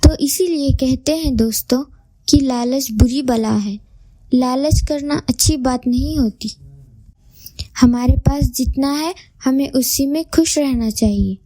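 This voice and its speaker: Slow, high-voiced woman storyteller. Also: warm tone, dry recording, rough recording. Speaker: story narrator. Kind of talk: scripted children's moral story narration.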